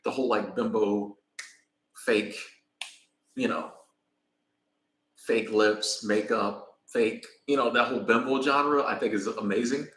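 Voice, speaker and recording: A man's voice: mid-range voice, fairly even intonation, measured pace, long pauses, fairly bright tone, reverberant. Laid-back American man chatting live. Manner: rambling, laid-back, thanks viewers by name. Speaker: vlogger. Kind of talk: casual live-stream chat. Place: in Mexico, traveling.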